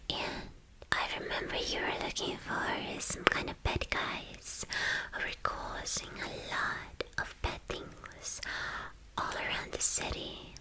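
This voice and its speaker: A woman playing a playful, boastful young female villain in an ASMR roleplay, whispering breathily and teasingly with halting, repeated phrases. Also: close to an everyday voice, rough recording.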